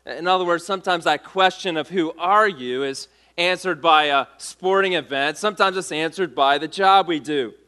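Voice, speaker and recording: A man preaching a sermon in a church, measured and repeating his key questions. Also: fairly high pitch, pushed and bright, clean tone. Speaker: pastor.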